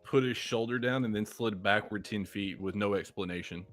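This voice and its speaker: A man running a tabletop roleplaying game as game master, describing scenes in a measured, descriptive way. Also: lowish voice, a bit bright, faint music behind. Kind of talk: narrating a live-play tabletop roleplaying game.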